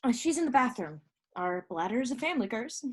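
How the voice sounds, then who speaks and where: A woman's voice: on the high side, sing-song, normal speed. An actress playing an awkward, self-deprecating young woman fresh out of rehab in a stage play. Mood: shy, wry. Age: young adult.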